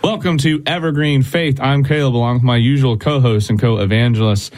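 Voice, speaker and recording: A man's voice: mid-range voice, steady tone, fairly quick, a little mellow. A young man in his twenties from Southern California, hosting a church talk show in a friendly, upbeat way with frequent laughs. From Southern California, USA. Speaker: host, evangelist. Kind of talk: casual radio chat.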